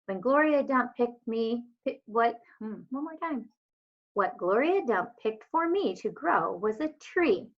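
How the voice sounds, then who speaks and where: A woman's voice: fairly high pitch, lively intonation, measured pace. A woman, a teacher, reading a children's story aloud as narrator. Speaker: elementary school teacher. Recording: dull lo-fi home recording.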